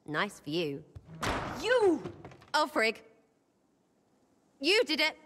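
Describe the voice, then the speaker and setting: A woman's voice: a little high, fairly bright tone, big-room sound. A woman playing a mischievous, blunt young elven rebel in a video game, with an English accent and a cheeky, slangy, irreverent way of talking. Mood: gleeful.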